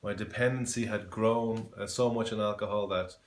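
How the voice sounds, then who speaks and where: A man in his forties from Dublin with a Dublin Irish accent, giving an earnest personal faith testimony, halting with many fillers and restarts. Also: fairly low pitch, steady tone. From Ireland. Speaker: testimony giver. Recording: echoey room.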